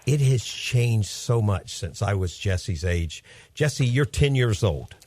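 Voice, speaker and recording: A man's voice: lowish voice, animated, slow pace, a little mellow. A man in his fifties hosting a radio show, talking in an upbeat, chatty, rambling way with his young son as guest. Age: 50s.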